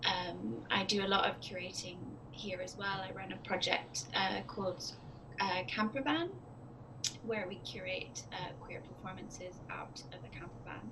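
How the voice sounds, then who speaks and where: Woman from Hong Kong, mid-pitched, tentative speaker, hissy video-call line. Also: fairly even intonation, normal speed. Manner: tentative, searching for words. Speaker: guest artist. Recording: noisy video call audio.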